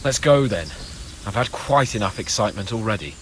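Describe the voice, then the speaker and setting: A man's voice: fairly low pitch, lively intonation, a little fast, a little hiss or hum, natural voice. A man playing a boastful, pompous young nobleman in a medieval video game cutscene, mocking and full of theatrical exclamations. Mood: playful bluster.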